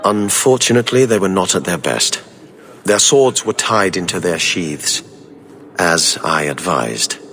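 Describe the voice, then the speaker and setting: An actor playing a stiff, disdainful government official in a video game, speaking formally and precisely. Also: male voice, low-pitched, expressive, unhurried, a bit bright, big-room sound, a little hiss or hum, heavily acted. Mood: dry, calculating.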